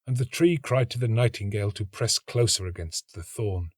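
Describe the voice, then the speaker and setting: A man reading a literary story as an actor would, in a measured, dramatic and expressive narrating style. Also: fairly low pitch, slightly rough, no echo, clean studio sound.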